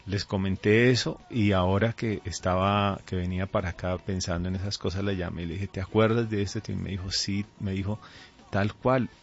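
A middle-aged man with a Colombian accent, speaking as a pastor in a radio interview in a deliberate, earnest way. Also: sits low, fairly even intonation. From Colombia, raised there from age two. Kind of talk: radio interview, conversational testimony. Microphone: studio microphone. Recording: dull, band-limited radio audio.

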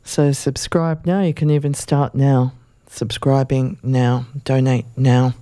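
A community radio host, hoarse and losing their voice, giving station announcements and reading a media release aloud in an unhurried, halting way. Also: mid-range voice, little pitch movement, dark tone, no echo, clean studio sound. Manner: unhurried, halting delivery with fillers. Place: radio studio.

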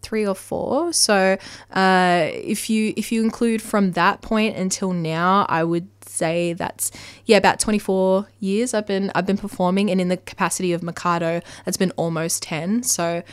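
A woman's voice: medium pitch, normal speed, smooth, no room sound. A young Australian woman, a performer, chatting casually and animatedly with bursts of laughter. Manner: bubbly, animated, laughs often. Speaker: performer and musician, guest. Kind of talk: casual chat.

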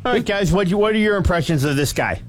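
A man hosting a sports radio update, reading with a smooth, steady delivery. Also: sits high, fast, a little hiss or hum. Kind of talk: radio update and sponsor read.

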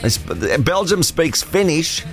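A man's voice: a little high, animated, takes it slowly. Australian male radio host, teasing and casual, music playing under him. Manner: joking, teasing callers.

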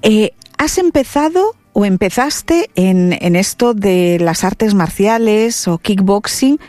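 A woman's voice: medium pitch, lively intonation, slow pace, dark tone, no room sound. A woman interviewer asking chatty, leading questions.

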